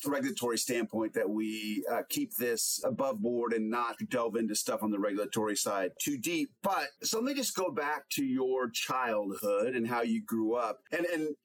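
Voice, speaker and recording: A man's voice: medium pitch, expressive, moderate pace, few pauses, poor audio. A man with an American accent, interviewing conversationally as a podcast host. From Oregon, United States.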